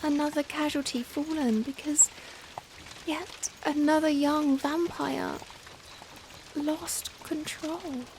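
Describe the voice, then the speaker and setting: A woman playing a young Victorian vampire in a softly spoken ASMR roleplay, anguished and tender, speaking formally and hesitantly with rain behind the voice. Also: sits high, slow, low-quality recording. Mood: grave, tender. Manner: hesitant, formal, emotional, pleading. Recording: steady rain noise behind. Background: rain falling on a surface.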